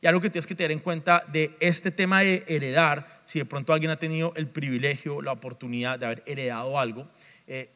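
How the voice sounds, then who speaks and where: A man's voice: a little high, fairly even intonation, fairly quick, pushed and bright. A male pastor preaching a sermon, emphatic, repeating refrains and asking the congregation questions. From Latin America. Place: church sanctuary. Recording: long church reverb.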